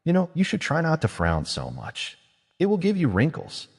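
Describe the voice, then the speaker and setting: A man's voice: a little high, wildly swooping pitch, moderate pace, husky, long reverb tail, pitched above the performer's own voice, full character voice. A man voicing a vain, playful witch woman who has been made young again, teasing and self-satisfied.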